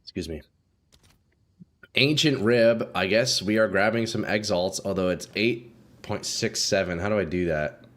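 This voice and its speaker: A young man doing casual live commentary while playing a game, a bit congested and clearing his throat often, swearing and talking to chat. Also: lowish voice, measured pace, fairly bright tone. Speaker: game streamer.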